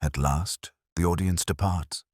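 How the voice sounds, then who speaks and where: A man's voice: low voice, a little slow, darkish tone, slightly rough, no room sound, clean studio sound. A man reading a dramatic scripted detective story as an audiobook narrator.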